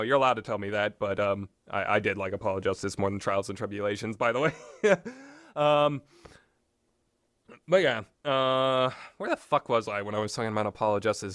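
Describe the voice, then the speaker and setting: A man's voice: mid-range voice, a bit bright, clear, resonant tone, no echo, clean studio sound. A man giving unscripted, opinionated talk as a host, wandering off on tangents and swearing casually. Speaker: host of a video game discussion show.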